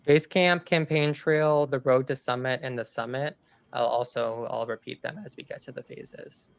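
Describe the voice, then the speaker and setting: A man hosting an online game over a video call, explaining the rules in a relaxed way with frequent "um"s. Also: mid-range voice, normal speed, creaky. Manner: relaxed, many "um"s. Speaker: game host. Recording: slightly dull video-call audio.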